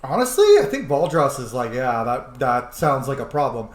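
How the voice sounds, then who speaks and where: Man, game streamer, mid-pitched, very lively intonation, jokey, sweary, casual roleplay commentary. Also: moderate pace. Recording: roomy, echoing sound.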